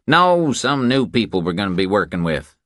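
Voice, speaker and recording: A hired watcher in a crime audiobook, voiced by a male narrator, explains the job to his partners. The voice is lifted above the reader's usual low register, in a dry recording. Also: sing-song, normal speed, a bit bright, no echo. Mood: matter-of-fact, insistent. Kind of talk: audiobook character dialogue.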